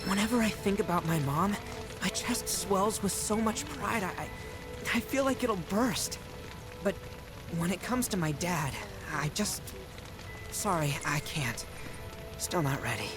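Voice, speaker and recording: Woman playing a wistful guarded young game girl, halting talk, music and rain behind. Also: mid-range voice, lively intonation, lightly acted. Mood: tender, pained. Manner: hesitant, wistful. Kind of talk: video game cutscene acting.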